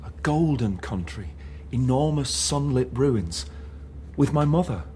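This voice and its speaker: An actor playing a broken, submissive political prisoner in a radio drama, hesitant, meek and earnest. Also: male voice, medium pitch, expressive, unhurried, dark tone, slight background noise, close to an everyday voice. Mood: resigned, dutiful. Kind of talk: naturalistic radio drama acting.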